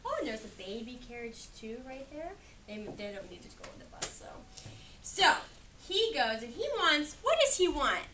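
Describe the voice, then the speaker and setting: A woman's voice: high voice, very animated, normal speed, sharp tone. An American woman reading a picture book aloud interactively, chatting, asking questions and encouragingly echoing the answers. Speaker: picture-book reader with a child. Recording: noisy room, distant microphone.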